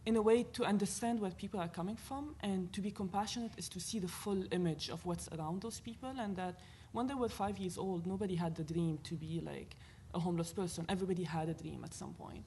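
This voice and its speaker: A woman from Lebanon with a Lebanese accent, speaking as a panelist at a university event in an earnest, animated way, thinking aloud with fillers. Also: lowish voice, fast, few pauses, a little hiss or hum. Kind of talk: panel discussion talk.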